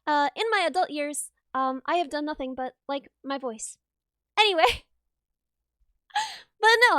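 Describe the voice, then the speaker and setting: A young woman streaming live, chatting casually with viewers in a rambling, laughing way, her voice a little raw and rough. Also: sits high, fairly bright tone, no echo, clean studio sound. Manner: rambling, chatty, laughs often, reads chat aloud.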